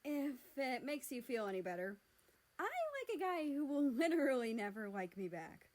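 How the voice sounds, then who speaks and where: A woman's voice: high-pitched, big pitch swings, moderate pace, darkish tone, pitched above the performer's own voice. A cocky, teasing big brother as a woman reader plays him in a fan fiction story. He talks in a casual, slangy, sarcastic way. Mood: awkward. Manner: casual, sarcastic, foul-mouthed.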